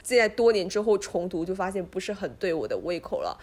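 A woman speaks as a podcast co-host in a casual book discussion. Her mid-pitched voice moves up and down expressively, and she slips English words into her sentences. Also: expressive, fast, a little mellow.

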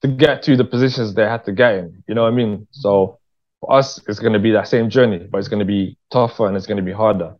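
A man's voice: on the low side, fairly even intonation, fast. British man chatting casually, dull lo-fi sound. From Birmingham, England. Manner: informal, slangy, emphatic with frequent check-in tags. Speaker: interview guest, filmmaker. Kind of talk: casual conversational interview.

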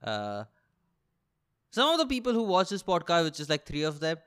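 A man's voice: a little high, wildly swooping pitch, moderate pace, a bit bright, clear, resonant tone. A young man with an Indian accent rambling casually as a solo comedy podcast host, sweary and self-deprecating, with a complaining delivery. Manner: self-deprecating, sweary, repeats and rephrases himself.

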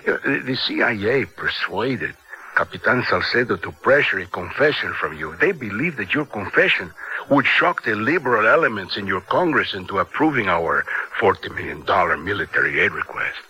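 A deep, forceful Latin American colonel. He is smooth, formal and coldly calculating, weighing each phrase in classic radio drama style. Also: sing-song, normal speed, poor audio.

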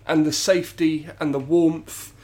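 A man's voice: a little high, level tone, measured pace, mellow. A man hosting a casual podcast while stuffy with a cold, chatty and self-deprecating with lots of ums and restarts. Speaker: podcast host. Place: home studio.

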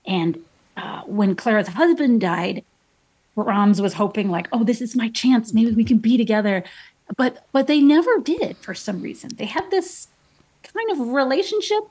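Woman in her 30s, kinda high breathy voice, rambly chatty storyteller. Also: animated, moderate pace, a little mellow, poor audio. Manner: chatty storytelling with many fillers and restarts. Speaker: painter guest. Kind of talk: casual interview chat.

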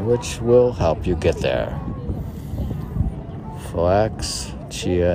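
A man with a lowish, gravelly voice reads his own aphoristic poetry slowly and haltingly, line by line, dropping in casual asides. He is outdoors, with clear city noise and traffic behind him. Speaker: poet reading own work. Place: outdoors on a city museum lawn.

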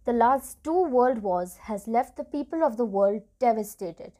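A woman's voice: a little high, expressive, unhurried, warm tone. A woman playing a formal radio news presenter, reading a scripted broadcast to listeners.

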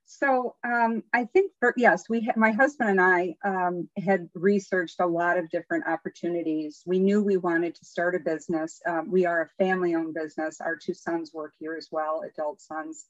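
A middle-aged woman, a business owner, talking through her story as a podcast guest with frequent pauses. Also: on the low side, steady tone, dry recording, lo-fi sound. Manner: conversational, many "um" pauses. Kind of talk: podcast interview.

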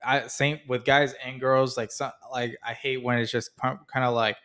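A man's voice: medium pitch, little pitch movement, normal speed, a bit bright, clear, resonant tone. A young man with an ordinary mid-range voice giving advice on a podcast. He circles around his point and leans heavily on filler words. It sounds like a casual, sincere conversation between friends. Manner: rambling, filler-heavy "like, you know". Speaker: podcast co-host.

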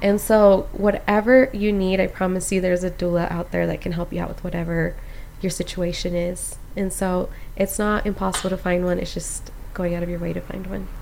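A woman's voice: on the low side, fairly quick, creaky, slight background noise. A young woman from Costa Rica, a postpartum doula, telling her story in a casual chat, full of "like" and "um". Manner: animated storyteller, many "like"s and "um"s.

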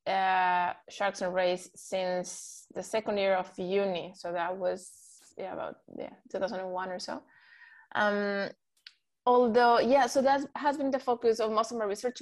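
Podcast guest: woman, 40s, Spanish native, relaxed and rambling. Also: medium pitch. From Mexico. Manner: chatty, many fillers and restarts. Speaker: guest, marine biologist. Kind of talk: casual interview answers.

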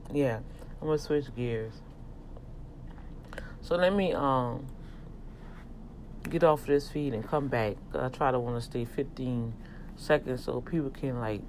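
Louisiana-accented host, unhurried, casual teaching-style monologue. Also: medium pitch, slight background noise. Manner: talks slowly and deliberately on purpose, in a teaching tone. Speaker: podcast host and tax office manager.

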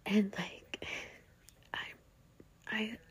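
Young female podcast host, breathy near-whisper, blunt, swears a lot, rambling and casual. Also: mid-range voice, fairly even intonation, extremely slow. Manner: blunt, profane, rambling with many fillers. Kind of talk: casual solo podcast talk.

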